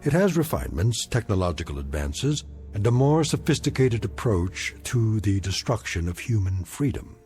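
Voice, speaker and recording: An actor as a grave, solemn narrator of eerie anthology drama, speaking deliberately and portentously. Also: male voice, on the low side, very animated, darkish tone, soft background music. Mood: grave, ominous. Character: grave, ominous male narrator of eerie tales.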